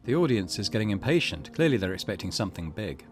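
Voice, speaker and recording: A man hosting a gaming let's play, casually reading on-screen text and commenting in a musing, low-key way. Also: very animated, fast talker, faint music behind. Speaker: gaming channel host.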